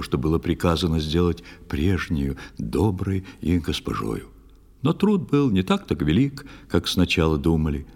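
A man narrating an audio play in verse, expressive and theatrical. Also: sits low, breathy edge. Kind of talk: scripted dramatic verse narration.

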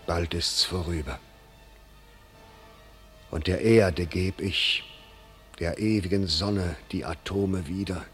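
An actor playing a proud, bitter English general dying on a medieval battlefield, declaiming classical German verse solemnly. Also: male voice, deep voice, a bit bright. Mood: resigned.

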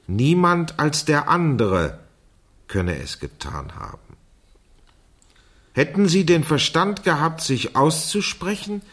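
A man's voice: mid-range voice, a bit bright, exaggerated voice. An actor playing a man who reads a teenage girl's diary aloud in a theatrical, mocking way in a radio crime play. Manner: theatrical, dictates punctuation marks aloud.

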